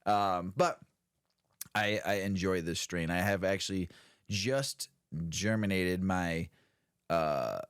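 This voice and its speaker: Laid-back deep-voiced man, dry sound. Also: takes it slowly, clear, resonant tone, dry recording. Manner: laid-back, rambling, laughs often. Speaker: podcast co-host. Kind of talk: casual stoner podcast chat.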